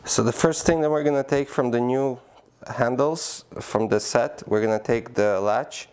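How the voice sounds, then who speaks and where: Smooth-voiced man explaining a product. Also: medium pitch, moderate pace, a little mellow. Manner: plain, practical, many "uh" fillers. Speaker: product demonstrator. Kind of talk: how-to demonstration. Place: indoor room by a door.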